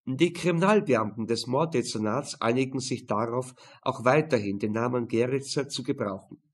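A man's voice: medium pitch, moderate pace, husky. A man reading a crime novel as scripted narration. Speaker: audiobook narrator.

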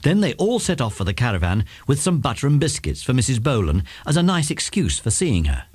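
A man's voice: medium pitch, big pitch swings, a little fast, a little mellow, husky, dry recording. A man narrating a children's story from a script.

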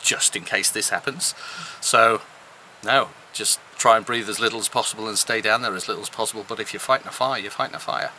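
A man's voice: on the low side, a little fast, sharp tone, slight background noise, low-quality recording. A man in his fifties explaining things casually to camera as a sailing vlog host. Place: outdoors at a marina and aboard a sailboat.

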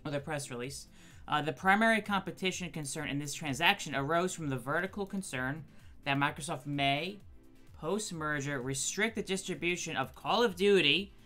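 Thirties male streamer with a raspy, nasal voice from allergies; bright tone, chatty news read at home, faint music under. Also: fairly high pitch, moderate pace. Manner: chatty, many ums, reads quotes from screen. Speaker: gaming news host. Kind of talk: casual news commentary.

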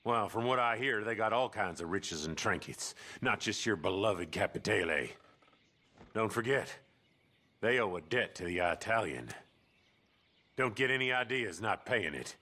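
An actor playing a grim, menacing American outlaw in a western video game, with an ominous, taunting drawl. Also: male voice, lowish voice, animated, moderate pace, sharp tone. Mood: taunting warning. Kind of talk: video game cutscene monologue.

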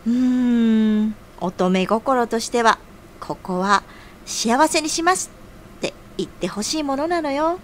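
A woman acting a romantic, bossy senior colleague in an audio drama, with drawn-out feminine phrasing. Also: a little high, animated, slight background noise. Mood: playfully demanding. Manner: drawn-out, feminine, nudging speech.

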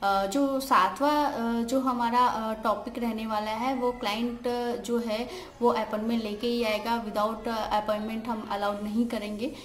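A young woman, a salon owner, talks casually to a close phone camera, pausing often with "uh" fillers and mixing in English words. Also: a little high, little pitch movement. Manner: hesitant, many "uh" fillers, mixes English words. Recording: echoey room, faint background hiss.

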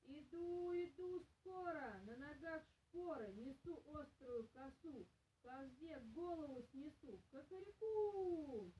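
A woman's voice: high voice, lively intonation, slow, dull audio, a little hiss or hum, pitched above the performer's own voice. A woman voicing a brave, boastful little rooster from a folk tale with a bold, chanting delivery. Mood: bold crowing. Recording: roomy, distant camera microphone.

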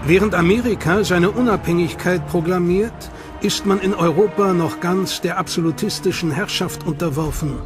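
Higher-pitched male documentary narrator. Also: moderate pace, music in the background.

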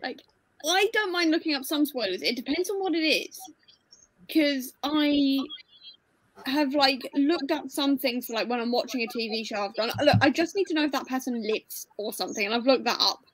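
Twenties woman, high and dry-sounding, emphatic chatter on a video call. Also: moderate pace, dry recording, low-quality recording. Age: 20s. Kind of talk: casual video-call chat.

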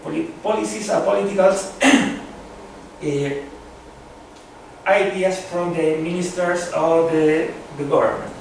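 A man with a Chilean Spanish accent giving a conference talk, halting, with many fillers and restarts. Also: fairly high pitch, creaky. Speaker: conference presenter. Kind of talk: academic conference presentation. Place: seminar room with a long table. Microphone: far camera. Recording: echoey room from far off, background noise.

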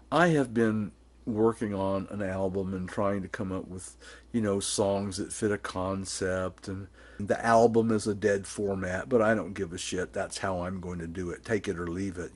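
An older man in his sixties with a low, husky voice talks to a camera held close in his small garage. He rambles, stops and restarts, and speaks bluntly with plenty of cursing. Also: a little mellow. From born and raised in Germany. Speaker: vlogger. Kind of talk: casual rambling monologue to camera. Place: small home garage workshop, indoors. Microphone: camera held close at arm's length.

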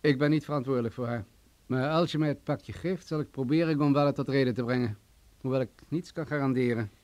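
An actor playing a menacing, wealthy crime boss in a radio play, coolly sadistic, condescending and mocking. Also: male voice, mid-range voice, quick pace, darkish tone, lightly acted. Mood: threatening. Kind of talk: vintage Dutch radio play acting.

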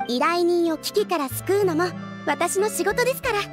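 A woman's voice: extremely high pitch, normal speed, over music. A woman voicing a courteous, composed young receptionist with formal, helpful phrasing in a Japanese anime game. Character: courteous, composed young female guild receptionist in maid dress.

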